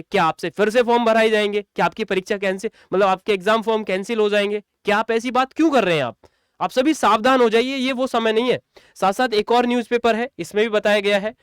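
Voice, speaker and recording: High-pitched man, teacher explaining. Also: smooth, no room sound, studio quality. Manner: emphatic, repetitive, addresses students directly. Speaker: exam coaching teacher. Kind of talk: explanatory news update for students.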